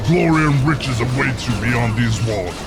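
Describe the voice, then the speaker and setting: Man acting as gravelly orc warlord, proud, bloodthirsty, commanding speeches, video game villain, loud music underneath. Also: mid-range voice, moderate pace, close to an everyday voice. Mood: rousing, fierce. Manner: grandiose, commanding oratory. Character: proud, bloodthirsty orc war chief rallying his horde.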